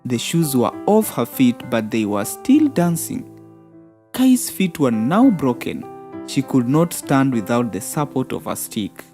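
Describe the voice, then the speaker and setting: Low-voiced, unhurried woman storyteller. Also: big pitch swings. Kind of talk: scripted children's fairy-tale narration. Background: soft background music.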